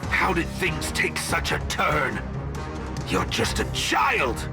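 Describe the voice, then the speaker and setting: A gravelly, pompous villain mentor lectures with cold, philosophical smugness. His pitch sweeps widely and theatrically, as in a video game story scene. Music runs clearly behind him. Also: big pitch swings, slow pace, exaggerated voice. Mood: shocked.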